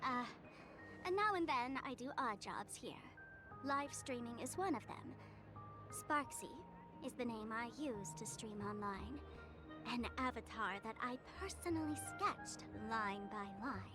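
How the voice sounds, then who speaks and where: A woman's voice: fairly high pitch, lively intonation, measured pace. A woman voicing a wry, teasing young female streamer and trickster in a video game, speaking in a confiding, storytelling manner. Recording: clear music bed behind voice. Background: music.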